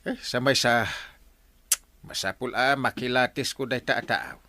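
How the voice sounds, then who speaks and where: A man playing an excitable, suspicious village husband in a comedy radio drama, with an Ilocano accent. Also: mid-range voice, a little slow, no echo. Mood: doubtful.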